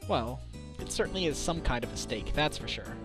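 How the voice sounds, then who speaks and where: A man's voice: a little high, expressive, a little fast, soft background music, lightly acted. A man playing a decent, wry defense lawyer and father in an amateur game fandub, talking in a conversational way.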